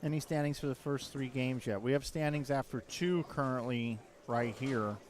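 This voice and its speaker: An easygoing man hosts a casual bowling stream in an even, mid-range voice, agreeable and matter-of-fact. Steady noise from the bowling alley runs under his words. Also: medium pitch, moderate pace. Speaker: stream commentator. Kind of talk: casual commentary chat.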